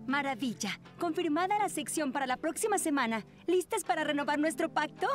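Casting: earnest teen cartoon ringleader girl, very high voice, take-charge, music behind. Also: lively intonation, fairly quick. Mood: enthusiastic. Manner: emphatic, organising. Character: earnest, bossy teenage girl, leader of fangirl friends. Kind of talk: animated cartoon dub acting.

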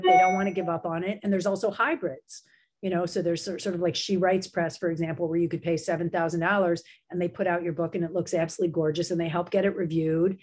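A woman's voice: lowish voice, darkish tone. A middle-aged woman with a slight sore throat, a writing professor chatting enthusiastically as a guest on a video call, with many fillers and restarts. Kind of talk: casual video-call interview.

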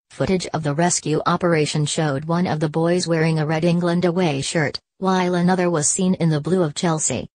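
Low-voiced woman reading news, dry. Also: darkish tone, dry recording. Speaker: news narrator. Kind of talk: scripted news narration.

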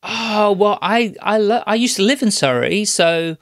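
A man's voice: sits high, measured pace, no echo, studio quality. A man hosting a business podcast, upbeat and enthusiastic as he asks questions. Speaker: podcast host. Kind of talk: podcast interview.

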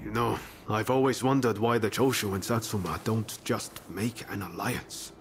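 An actor playing a determined, idealistic young samurai in a video game cutscene, speaking in an earnest, conversational and persuasive way. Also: male voice, fairly low pitch, fairly even intonation, normal speed, slight background noise, lightly acted. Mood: passionate, persuasive.

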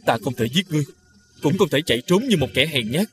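A man playing a noble, honourable young prince in an animated fairy tale, speaking earnestly and formally. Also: a little high, quick pace, lightly acted. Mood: resolute, sorrowful.

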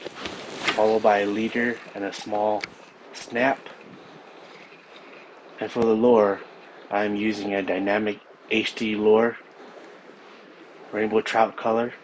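A man explaining his fishing trip and gear in a relaxed, casual way on a snowy riverbank, recorded on a body camera. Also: lowish voice, slow pace, pauses often, a little hiss or hum, poor audio. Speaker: fishing vlogger. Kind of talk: casual outdoor narration.

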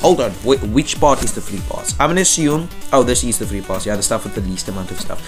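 A young man riffs loosely as he plays, slangy and joking with a medium-pitched voice. Game music runs clearly under his talk. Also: animated, fast. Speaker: gaming YouTuber. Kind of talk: casual gameplay commentary.

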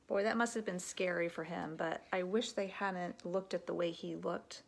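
A woman, around her thirties, reading a children's picture book aloud like an elementary teacher, with expressive, deliberate delivery and explanatory asides. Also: fairly low pitch, vocal fry, slightly rough. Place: living room at home.

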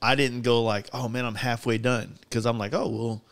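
A man's voice: medium pitch, steady tone, moderate pace. Man, forty, mid-range voice, casual podcast talk, rambling, sweary, close mic. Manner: profane, rambling, self-interrupting. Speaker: podcast host. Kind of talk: casual chat. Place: garage workshop, indoors. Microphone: podcast microphone on boom arm, close.

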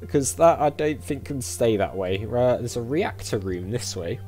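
A man hosts a casual gaming video, thinking aloud as he goes. His pitch moves around in a lively way, and game music plays softly behind him. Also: moderate pace. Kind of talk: casual let's-play commentary.

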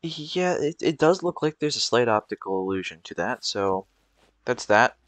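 A man's voice: mid-range voice, very animated, normal speed, fairly bright tone, no room sound. A young man giving rambling, casual commentary over gameplay, with frequent fillers and restarts. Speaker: gameplay video creator.

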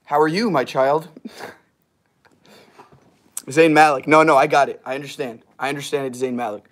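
A young man in his twenties with a slightly hoarse, strained voice, rambling casually with fillers and laughs as he reads viewer comments on a livestream from a small wood-paneled garage room, heard through a device camera at arm's length. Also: on the high side, fairly even intonation, moderate pace. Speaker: livestream host.

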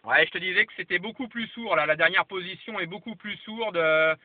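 Lo-fi recording of a higher-voiced Frenchman. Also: a little fast, no room sound. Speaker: amateur radio operator. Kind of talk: casual radio chat, signal reports. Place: parked vehicle. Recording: lo-fi camera audio, muffled, dry.

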